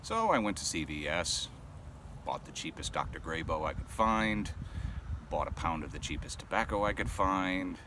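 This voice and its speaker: Very deep-voiced man in his thirties. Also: normal speed. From Rhode Island. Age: 30s. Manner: relaxed, rambling, many ums and uhs. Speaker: pipe-smoking vlogger. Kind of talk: casual chat to camera. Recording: clear traffic noise outdoors.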